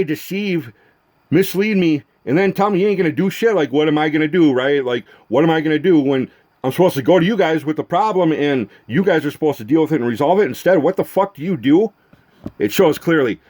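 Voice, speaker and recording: Exasperated, higher-pitched man on a rant. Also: a little mellow. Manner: exasperated, profane, repeats himself with rhetorical questions. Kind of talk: accusatory rant to camera addressing an absent person.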